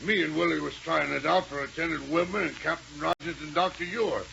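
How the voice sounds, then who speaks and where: An actor playing a rough, simple, loyal sidekick in a 1930s radio serial, with folksy, ungrammatical, stammering protests. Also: male voice, on the high side, brisk, pushed and bright, heavily acted. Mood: indignant. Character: rough, simple, loyal rocket-ship sidekick, stubborn and indignant. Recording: dull, hissy 1930s radio recording.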